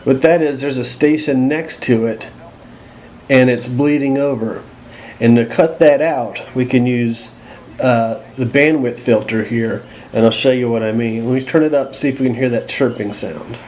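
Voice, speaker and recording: Casting: American male demonstrator, unscripted and explanatory, medium pitch, indoor recording with noticeable noise behind. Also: normal speed, darkish tone. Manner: plain-spoken, explanatory. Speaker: host demonstrating a radio. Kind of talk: casual unscripted product walkthrough. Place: indoors at home.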